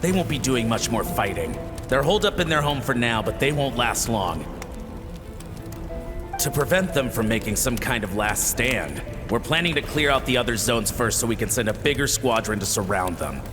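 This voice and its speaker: An actor playing a dutiful, callous soldier reporting to a superior in a game cutscene. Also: male voice, mid-range voice, expressive, normal speed, natural voice. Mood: matter-of-fact. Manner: crisp, deferential, callous. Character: dutiful, ruthless male soldier reporting to his captain. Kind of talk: dramatic video game cutscene acting. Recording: reverberant, with music behind.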